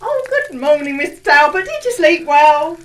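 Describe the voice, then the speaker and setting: Woman as a homely high-voiced landlady, echoey. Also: big pitch swings, normal speed, a bit bright, distant mic, a little hiss or hum. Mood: cheerful, apologetic. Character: homely, cheerful inn landlady. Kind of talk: comic period audio drama. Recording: echoey reverberant room, faint music behind.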